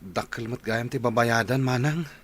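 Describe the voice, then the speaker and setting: A man's voice: medium pitch, moderate pace, fairly bright tone, slight background noise, natural voice. A man playing a mild, concerned younger brother with a working life in an audio drama. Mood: subdued.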